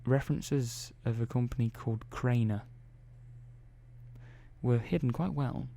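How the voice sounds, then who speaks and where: A man playing a calm, methodical robot engineer in an ASMR roleplay, speaking softly and slowly with hesitant pauses. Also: mid-range voice, creaky. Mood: confiding. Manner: soft-spoken, slow and hesitant, many pauses and "well"s.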